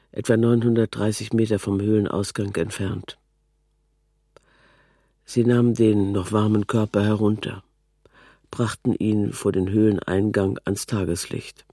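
A man reads literary prose as a narrator. His voice is lowish and dark, relaxed in tone. The recording is dry, with no room around it. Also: no echo.

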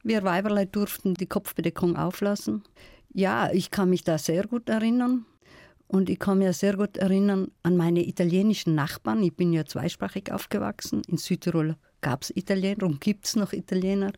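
A middle-aged woman from South Tyrol with a South Tyrolean accent, a guest in a radio interview, speaking in a thoughtful, measured, storytelling way. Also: mid-range voice, creaky, dark tone, dry recording, clean studio sound. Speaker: guest, folklorist.

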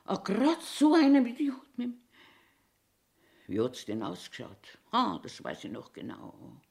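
A woman's voice: fairly high pitch, huge pitch swings, a little slow, vocal fry, darkish tone, heavily acted. A woman in her eighties with a Bavarian accent, playing a cranky, eccentric old washroom attendant grumbling to herself in a theatrical monologue. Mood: bitter. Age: 80s. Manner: grumbling self-talk, swinging between scolding and muttering. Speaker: actor.

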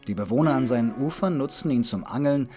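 A man's voice: medium pitch, lively intonation, quick pace, dark tone, faint music behind. A man narrating a scripted travel documentary as the journey's own reporter.

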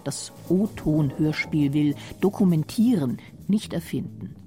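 A woman narrating a radio feature in a breathy, deliberate way. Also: sits low, animated, dark tone, soft background music. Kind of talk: scripted narration.

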